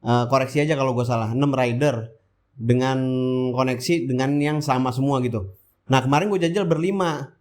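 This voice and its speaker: Casting: male motovlogger, mid-pitched, Jakarta-area Indonesian accent, informal and rambling voice-over. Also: brisk, a little mellow. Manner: colloquial, rambling, asks and answers own questions. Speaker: motovlog host. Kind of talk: casual vlog voice-over, chatty and informal.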